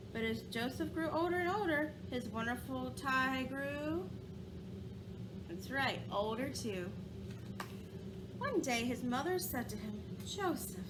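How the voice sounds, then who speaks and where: High-voiced woman reading a storybook, noisy recording. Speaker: teacher reading to pupils. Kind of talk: storybook read-aloud with questions to children.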